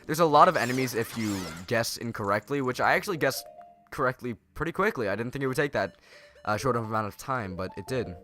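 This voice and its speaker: Young man, nasal from a cold, bright forceful voice, rambling sarcastic game commentary, faint music behind. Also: medium pitch, lively intonation, fast talker. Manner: rambling and sarcastic, self-correcting. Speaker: let's play host. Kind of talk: casual gameplay commentary.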